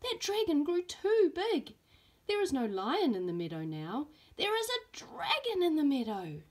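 A woman's voice: high-pitched, huge pitch swings, measured pace, a little mellow, voice pushed up, full character voice. A woman voicing an earnest, emphatic little boy in a storybook. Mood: alarmed.

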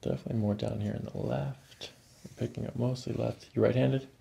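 A very gravelly American man with a dark, relaxed voice talks through a chiropractic exam. He explains calmly and puts short, clipped questions to the patient. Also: medium pitch, fairly quick. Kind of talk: hands-on clinical exam narration, ASMR. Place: small carpeted clinic office, indoors.

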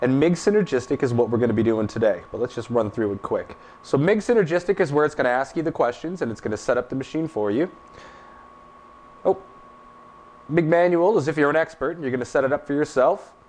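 A man in his thirties talks through a welder setup in an easygoing, plain shop-floor manner, his pitch sitting in an ordinary middle range. He is recorded in an indoor workshop from a little way off, with a faint hum beneath his voice. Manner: relaxed, plain-spoken shop talk with fillers. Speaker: welding demonstrator. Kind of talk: casual product demonstration.

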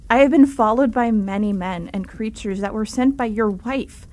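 A woman's voice: a little high, normal speed, darkish tone, natural voice. An actress playing a determined, grieving young woman seeking truth in an audio drama. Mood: pleading resolve. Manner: earnest, insistent.

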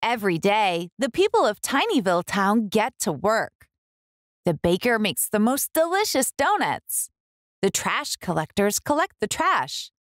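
A woman reading a picture book aloud for young children, expressively, with deliberate, clear enunciation. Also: medium pitch, sing-song, dry recording, studio quality. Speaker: children's book reader, host.

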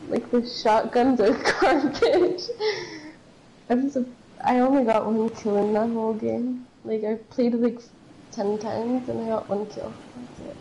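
Young woman gamer, a touch high, mellow tone, faint background hiss, laid-back chat. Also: fairly even intonation, measured pace, vocal fry, dull audio. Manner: laid-back, lots of fillers. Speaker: player. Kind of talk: casual chat while gaming.

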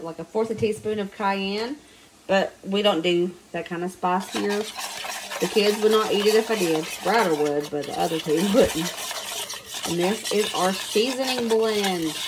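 A hoarse woman with a Southern US accent talks at a middle pitch as she cooks. She is chatty and relaxed, and she walks through each step in her home kitchen. Faint kitchen noise sits under her voice. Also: normal speed. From Southern United States. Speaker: home cooking vlogger. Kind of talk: casual recipe walkthrough.

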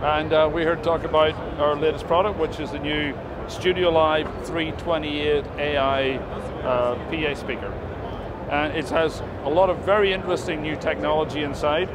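A man's voice: on the high side, fairly even intonation, moderate pace. A middle-aged man giving an enthusiastic, technical, unscripted product demonstration as a company representative. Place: busy indoor exhibition hall. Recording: noisy trade-show hall, loud background bustle.

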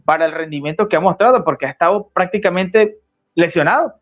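A young Venezuelan man talks as a polite, chatty guest in a live video call. His voice is a bit higher than most men's, and the sound comes through slightly dull. He answers with easy courtesy. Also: fast talker. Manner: chatty, polite, says con todo gusto. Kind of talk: casual live stream chat.

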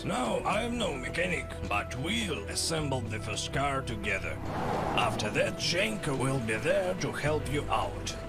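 Gruff veteran racer guy, gravelly, bossy mentor vibe, music in the background. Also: medium pitch, sing-song, measured pace. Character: gruff middle-aged male racer, tough mentor. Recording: game cutscene with loud music.

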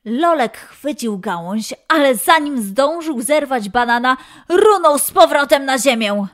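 A woman narrating a children's story in an expressive style. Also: sits high, unhurried.

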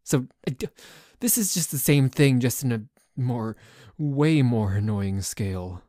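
A man's voice: medium pitch, lively intonation, measured pace, mellow, dry recording, clean studio sound, natural voice. A man playing a dorky, jealous boyfriend in an ASMR roleplay, complaining in a stammering, exasperated way that sometimes drops toward a whisper. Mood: exasperated, defensive. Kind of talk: ASMR roleplay audio drama.

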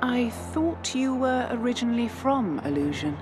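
A woman's voice: fairly high pitch, big pitch swings, normal speed, loud music behind the voice. An actress playing a composed, courteous female retainer in a video game cutscene. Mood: polite, composed.

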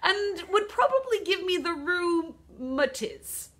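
A woman voicing a cautious, fussy kangaroo in a children's poem, with a put-on Australian accent and deliberate delivery. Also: very high voice, sing-song, fairly bright tone, voice pushed up, heavily acted. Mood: doubtful, fussy.

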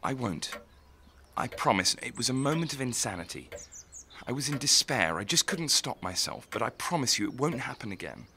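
A man acts a sensitive, troubled young son in an audio drama. His voice is forward and bright with lively intonation, earnest and pleading, over faint background noise. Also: medium pitch, moderate pace. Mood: contrite. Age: young adult.

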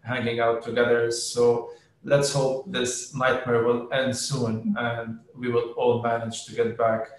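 A man's voice: mid-range voice, completely flat delivery, measured pace. A middle-aged man moderating a panel on a video call, polite and formal. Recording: very echoey room on a video call.

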